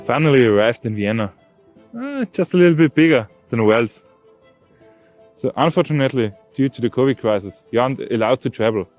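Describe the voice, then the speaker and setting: A man presents casually to camera with a very animated, swinging intonation at a medium pitch. There is faint music behind his voice. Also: fairly quick. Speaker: video presenter. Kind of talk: casual on-location video presenting.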